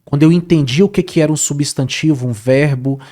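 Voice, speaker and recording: A man's voice: a little high, brisk. A man talking casually as an interview guest, telling a story with lots of repetition and filler words. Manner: animated, repeats words, says "cara" often. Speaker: guest, Portuguese teacher.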